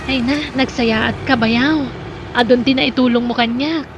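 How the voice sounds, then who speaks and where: A woman's voice: on the high side, steady tone, measured pace, noisy background. An actress with an Ilocano Filipino accent playing a flirtatious woman in love with her brother-in-law in an audio drama. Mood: grateful.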